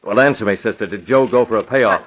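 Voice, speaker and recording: An actor playing a tough, menacing masked vigilante in an old radio crime drama, commanding and hard-boiled. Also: male voice, on the low side, quick pace, lightly acted. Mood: sly, pressing. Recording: muffled, noisy old radio recording.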